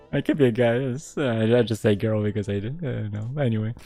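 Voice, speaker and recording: A young man chats casually on a stream, reacting in an animated way to the stories he reads out. His voice leaps up and down with excitement and stays close and dry on the mic. Soft instrumental music plays quietly behind him. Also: normal speed, dry recording. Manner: animated, laughs often, jumps high when excited. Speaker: streamer reading listener submissions. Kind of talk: casual radio-style chat.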